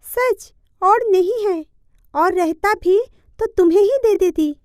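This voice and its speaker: A woman playing a naive, gentle, long-suffering young village wife in an animated moral story, meek and plaintive. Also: very high-pitched, slow pace, soft background music.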